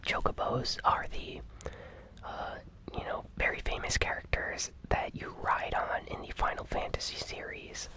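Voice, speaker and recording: A host presenting an ASMR gaming news recap in a hushed, breathy whisper, explaining things informally and without hurry. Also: low-quality recording.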